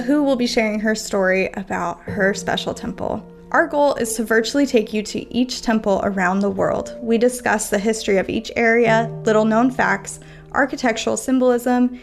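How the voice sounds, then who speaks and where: A woman's voice: a little high, vocal fry. A woman hosting a podcast, reading a polished script. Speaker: podcast host.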